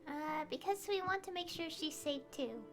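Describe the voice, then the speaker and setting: A woman's voice: high-pitched, lively intonation, normal speed. A woman game streamer giving casual gameplay commentary and reading dialogue choices aloud. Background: game music.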